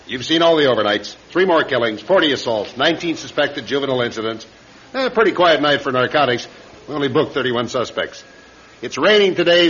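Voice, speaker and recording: Guy doing a police boss at roll call, dry and bossy, mid pitch, dull radio sound. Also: fairly bright tone, close to an everyday voice. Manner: dry, businesslike, commanding. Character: brisk, businesslike police commander at roll call. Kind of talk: radio drama acting. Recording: dull radio recording with background hiss.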